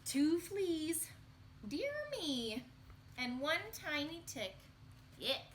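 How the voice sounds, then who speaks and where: A woman reading a picture book aloud to young children in an expressive, sing-song storytelling manner. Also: high voice, very slow, a little hiss or hum.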